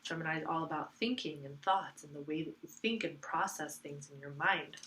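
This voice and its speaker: Congested low-voiced woman reading tarot. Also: fairly quick, pushed and bright, slight background noise, rough recording. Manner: rambling, filler-heavy, repeats herself. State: congested from allergies. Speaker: tarot reader.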